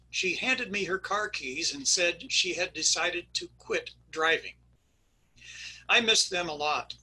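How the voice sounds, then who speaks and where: A man's voice: fairly high pitch, sharp tone, rough recording. A man reading a wry first-person story aloud over a video call. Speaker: narrator. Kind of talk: reader's theater narration.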